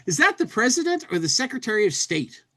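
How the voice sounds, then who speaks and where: Man, casual podcast host, lively swooping intonation, playful dramatic reader. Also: high voice, fast. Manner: acts out speech-bubble lines playfully. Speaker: podcast host reading an old humor magazine aloud. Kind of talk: casual chat with dramatic reading.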